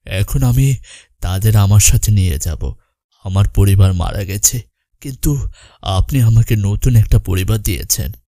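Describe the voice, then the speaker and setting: A man's voice: lowish voice, expressive, a little slow, mellow, no echo. A man voicing a kindly, grateful and pious widower in cartoon dialogue. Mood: grateful, sincere.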